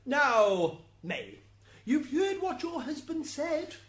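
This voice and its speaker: A woman's voice: high-pitched, very animated, takes it slowly, heavily acted. A woman playing a courteous, slightly flustered and wry defence lawyer in a radio drama. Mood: flustered, polite. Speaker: defence advocate.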